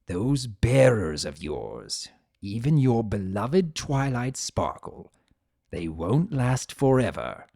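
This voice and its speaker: Man as pompous, bitter male chaos spirit in a dramatic story reading; sardonic, widely swooping pitch, unhurried. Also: very animated, gravelly, mellow, no echo, clean studio sound, exaggerated voice. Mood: menacing. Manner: theatrical, sardonic, seething.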